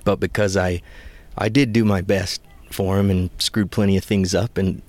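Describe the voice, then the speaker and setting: A man in his thirties from the Pacific Northwest, a former Marine, telling stories in an easygoing, soft-spoken way. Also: low voice, flat delivery, moderate pace, no echo. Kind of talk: casual interview chat. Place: front seat of a parked van, door open, rain.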